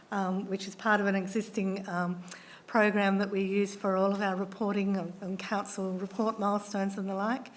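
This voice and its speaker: A woman, a council officer who is losing her voice, answering councillors' questions in a measured way with many ums and restarts. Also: medium pitch. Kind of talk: formal meeting answers.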